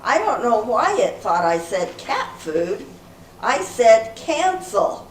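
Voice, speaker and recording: A woman's voice: on the high side, sing-song, slow. Woman as an eager group leader, echoey room. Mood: flustered, insistent. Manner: earnest, presenting. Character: eager, easily flustered female group leader. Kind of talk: amateur readers theater. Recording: echoey room from far off, faint noise.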